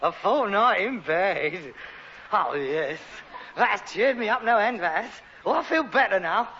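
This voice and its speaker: A put-upon London rag-and-bone man, high and bright in voice, emotional and opportunistic. It is sitcom acting on a dull recording with audible noise. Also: lively intonation, measured pace, exaggerated voice. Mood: gleeful.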